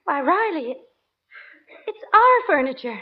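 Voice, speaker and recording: An actress playing a sensible, affectionate but exasperated wife in a 1940s radio comedy, with an expressive, dramatic delivery. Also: female voice, sits high, a little slow. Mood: alarmed. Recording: muffled vintage radio recording.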